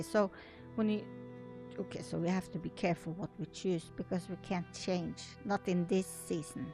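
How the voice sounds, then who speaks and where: A woman whose first language is not English, reading an article aloud with casual commentary as a video host, often stumbling over words and restarting. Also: medium pitch. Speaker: gaming video creator and host.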